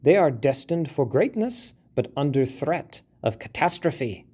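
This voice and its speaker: Shrill, argumentative little mouse, voiced by a man, lively pitch, mellow tone. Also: unhurried, breathy edge, heavily acted. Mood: lecturing. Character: indignant little male mouse.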